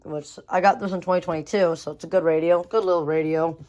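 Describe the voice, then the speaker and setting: A woman's voice: on the low side, steady tone, fast talker, a little mellow, lo-fi sound. A woman giving a casual, unscripted show-and-tell of a collection, rambling and often repeating phrases. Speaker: radio collector showing a collection.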